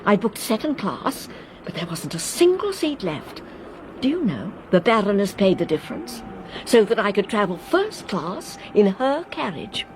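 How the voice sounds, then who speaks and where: Woman acting a kindly English governess, very animated intonation, fluttery, genteel, plucky, radio play, train rumbling behind. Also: normal speed, close to an everyday voice. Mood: chatty, confiding. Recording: clear train and vehicle noise behind. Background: train ambience.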